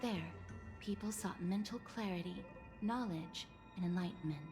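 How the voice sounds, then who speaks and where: A woman's voice: fairly low pitch, moderate pace, lightly acted. An actress playing an earnest, polite young woman in an anime game, speaking in a formal, careful, explanatory way. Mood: explaining. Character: earnest, polite young woman, thoughtful guide with reverent air. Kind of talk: anime game voice acting, expository dialogue. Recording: game music clearly behind.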